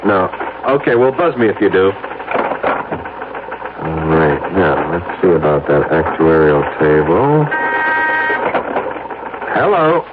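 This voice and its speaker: An actor playing a troubled, guilt-ridden husband and office worker in an earnest, hesitant 1970s radio drama style. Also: male voice, low voice, sing-song, takes it slowly, long pauses, heavily acted. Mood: businesslike. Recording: muffled, noisy old radio broadcast.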